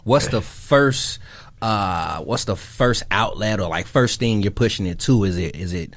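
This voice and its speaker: A man co-hosting a casual radio chat, asking slangy, halting questions. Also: lowish voice, expressive. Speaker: radio show co-host, interviewer.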